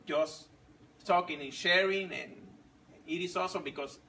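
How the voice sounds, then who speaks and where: A man in his forties, not a native English speaker, telling his story slowly with many pauses and restarts. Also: sits high, pushed and bright, far from the mic, slight background noise. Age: 40s. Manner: halting, many fillers and restarts. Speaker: fit club member sharing his story. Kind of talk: casual personal story.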